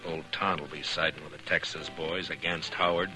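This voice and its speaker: An actor playing a weary, principled lawman of a frontier town, terse and gruff, in a 1950s radio western. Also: rumbling low, steady tone, brisk, over music, poor audio. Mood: weary, resigned. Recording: vintage radio recording with faint hiss.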